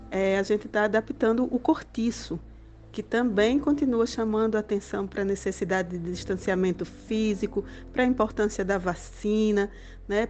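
A woman's voice: medium pitch, a little mellow. A woman with a Brazilian accent explaining a project in an earnest, semi-spontaneous way, as a coordinating professor.

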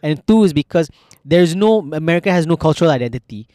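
A man's voice: fairly high pitch, expressive, dark tone, dry recording, clean studio sound. Opinionated Malaysian man, mid voice. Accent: Malaysian English. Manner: opinionated, halting with restarts, laughs often. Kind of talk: casual chat.

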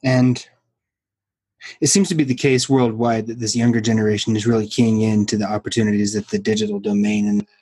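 Husky man asking musing questions. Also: fairly low pitch, flat delivery, fairly quick, darkish tone, dry recording. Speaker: interviewer. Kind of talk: conversational interview.

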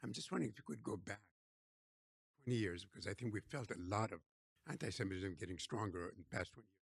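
Low, gravelly man asking a question. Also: fast, no echo. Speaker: audience member asking a question. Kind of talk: spoken audience question.

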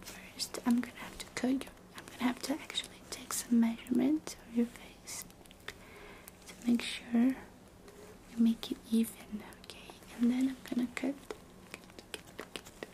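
A woman whispering softly and closely as a doctor in an ASMR roleplay, giving gentle, reassuring bedside talk into a binaural mic. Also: fairly high pitch, flat delivery, a little slow, pauses often, poor audio. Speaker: ASMR creator role-playing a doctor.

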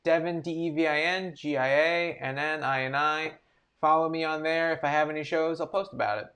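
A New man guesting on a comedy podcast, loose and self-deprecating. He jokes casually and slows way down when spelling out his name. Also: fairly high pitch, fairly even intonation, fairly bright tone. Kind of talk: casual chat.

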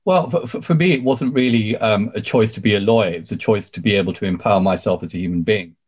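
A British man in his forties talks as an interview guest in a fairly low voice. He is talkative and emphatic, telling his own story conversationally and often dropping in "you know". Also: fast talker, darkish tone, slightly rough. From UK, born in Sri Lanka. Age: 40s. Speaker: podcast guest, barrister.

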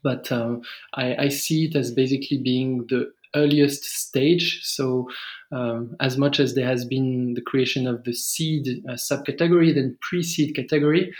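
A man with a French accent talks through his work in a measured, reflective way. He pauses often with small fillers and restarts. His voice sits at an ordinary middle pitch. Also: fairly even intonation, a little mellow. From France. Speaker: guest, startup founder. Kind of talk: podcast interview over a call.

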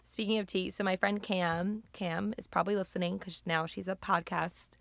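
A young woman co-hosting a podcast, with a raspy, low voice and a playful, joking way of talking. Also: fairly even intonation, moderate pace, a bit bright, dry recording. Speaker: podcast co-host. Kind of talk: casual chat.

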